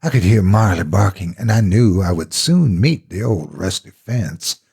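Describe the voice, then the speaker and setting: Really raspy guy narrating a scary story, lowish voice, super expressive, dramatic. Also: moderate pace. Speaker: horror story narrator and host. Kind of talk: dramatic first-person horror storytelling.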